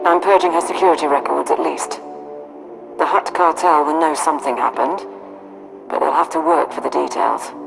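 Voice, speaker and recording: A brisk female operative from a game cutscene. Her voice is low and creaky, with a dry, practical delivery. Music runs clearly underneath. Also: rough recording. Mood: cool, dry. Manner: matter-of-fact, dry. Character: brisk, cool female agent briefing a Sith lord. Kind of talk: video game cutscene acting.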